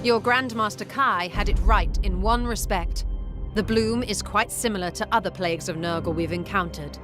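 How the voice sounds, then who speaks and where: An actress playing a zealous, self-assured inquisitor in a sci-fi game, lofty and theatrical, speaking deliberately. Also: female voice, mid-range voice, over music, lightly acted. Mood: defensive, assertive.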